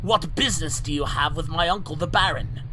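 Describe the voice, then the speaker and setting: A man playing a panicky, indignant Victorian gentleman in radio theater horror, with raised, theatrical exclamations. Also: brisk, lightly acted. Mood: terrified, indignant. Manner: shouted, high-strung, theatrical exclamations. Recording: noisy recording with loud ambient rumble.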